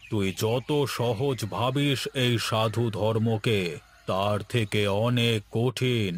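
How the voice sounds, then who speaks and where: A man's voice: on the low side, a little hiss or hum. A man voicing a stern, commanding guru in a cartoon, speaking solemnly. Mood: stern, questioning. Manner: solemn, commanding, slow, chanting mantras at times. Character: stern holy man, a guru laying down rules. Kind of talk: cartoon voice acting.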